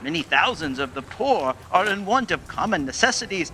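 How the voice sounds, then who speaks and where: A man playing a cheerful, earnest, polite gentleman in a radio play, speaking courteously. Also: sits high, very animated, normal speed, heavily acted. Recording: faint background noise.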